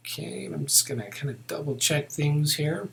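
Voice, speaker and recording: A man teaching software in a casual, unscripted way, thinking aloud as he walks through each step. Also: fairly high pitch, brisk, vocal fry. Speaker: software tutorial instructor. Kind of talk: narrated step-by-step screen tutorial, unscripted.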